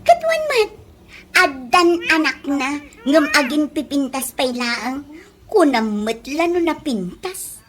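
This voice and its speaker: A woman's voice: high voice, sing-song, slow, background noise, heavily acted. A woman playing a fussy, girlish young mother in an Ilocano-accented comedy radio drama, exclamatory and gossipy. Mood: catty. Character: girlish young working mother fussing over her hair.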